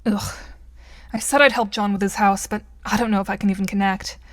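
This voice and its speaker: A woman's voice: medium pitch, steady tone, fairly quick, no echo, clean studio sound, close to an everyday voice. A woman playing a straightforward, skeptical but patient girl gamer in an audio drama, with a composed, questioning manner.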